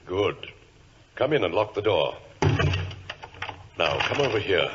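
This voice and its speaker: An actor playing a grave, commanding senior government minister in a 1940s radio drama, solemn and confidential, with a husky voice. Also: male voice, low voice, sing-song, a little slow, very noisy. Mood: solemn, secretive. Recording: muffled vintage radio recording.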